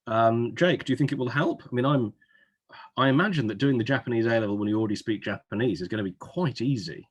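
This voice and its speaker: A man's voice: mid-range voice, breathy edge. A man hosting an informal webinar Q&A as an admissions adviser, chatty, with wry asides. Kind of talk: informal Q&A hosting, reading out audience questions. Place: online webinar.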